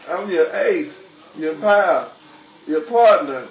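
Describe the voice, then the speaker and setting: A man's voice: fairly high pitch, animated, slow, lo-fi sound, a crowd in the background. A man playing a chummy, mischievous, evasive sidekick in an old time radio style comedy. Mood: chummy, emphatic. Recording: roomy echo, distant mic, noisy background.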